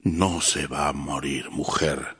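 An actor playing a proud father in a radio drama, expressive, placating and defensive. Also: very deep voice, slow, slightly rough, heavily acted. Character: proud father, cockfight lover, soothing and defensive.

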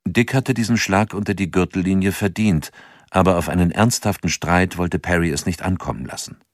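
Male narrator, radio play, sober and measured, deep voice, dry sound. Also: fairly even intonation, no room sound. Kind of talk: scripted narration.

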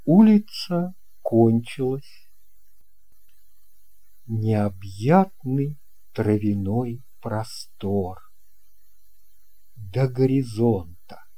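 A man narrating a children's story from a script in a measured, expressive storytelling manner. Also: mid-range voice. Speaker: audiobook narrator.

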